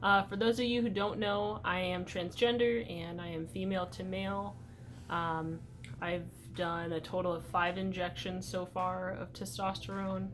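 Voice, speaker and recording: A young man talking casually in a video diary update, chatty and relaxed, with many "like" and "um" fillers. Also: high voice, moderate pace. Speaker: vlogger.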